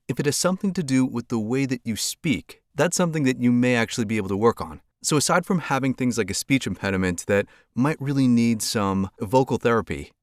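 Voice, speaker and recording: Deeper voiced guy doing a calm how-to for creators, dry close sound, lav mic. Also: dry recording. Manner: calm, clear explanatory delivery. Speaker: content creator giving tips. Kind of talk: instructional talk to camera. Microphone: clip-on lavalier mic.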